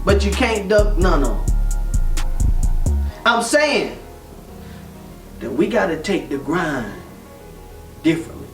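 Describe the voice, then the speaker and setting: A man's voice: sits high, big pitch swings, slow pace, long pauses, a bit bright. Guy in his 30s preaching to a crowd, slangy, call and response, roomy echo, mic far off, music behind. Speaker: motivational speaker. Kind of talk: motivational talk to a group.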